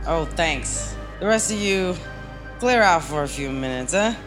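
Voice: medium pitch, expressive, a little slow, over music, natural voice. A performer playing a commanding police captain who questions suspects in a parody of an old radio mystery. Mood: brisk, official.

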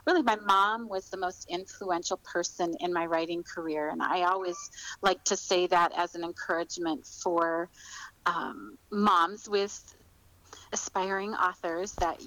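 A woman's voice: on the low side, a little slow, fairly bright tone, no echo, poor audio. American woman author in a podcast interview. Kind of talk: conversational podcast interview, telling personal story.

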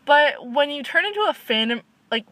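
A young woman with a somewhat high voice talks in a casual group chat. She is earnest and rambles, restarting her sentences as she goes. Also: animated, moderate pace, fairly bright tone, no echo. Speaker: panel guest in a fan discussion.

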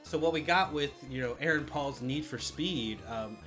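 A man's voice: medium pitch, moderate pace, music in the background. A man co-hosting a podcast in casual film discussion, chatty and loosely profane. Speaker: podcast co-host.

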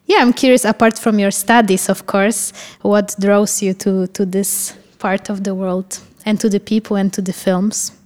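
A woman's voice: mid-range voice, fairly even intonation, normal speed, few pauses, darkish tone. Curious woman host, reverberant sound. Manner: curious, hesitant questions. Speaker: podcast host. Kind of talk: podcast interview.

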